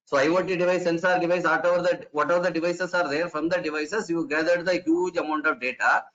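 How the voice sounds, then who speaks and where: A man's voice: a little high, steady tone, quick pace, fairly bright tone. A man giving an online lecture to teachers. He explains things step by step, repeats and restarts his phrases, and asks rhetorical questions. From India. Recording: dull lo-fi online session audio.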